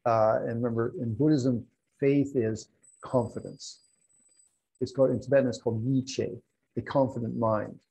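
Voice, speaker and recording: Older male Buddhist teacher, gravelly and husky, explains patiently with asides, lapel mic at home. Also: mid-range voice, fairly even intonation, normal speed, dark tone, low-quality recording. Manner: explanatory, restarts and asides, hands gesturing. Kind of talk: teaching an online class. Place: room at home with wall hangings.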